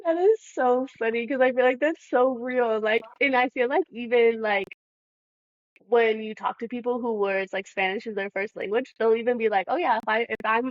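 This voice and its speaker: A woman's voice: on the high side, normal speed, no room sound, lo-fi sound. A woman podcast host from Southern California, chatting in an upbeat, casual way and laughing easily. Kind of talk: casual chat.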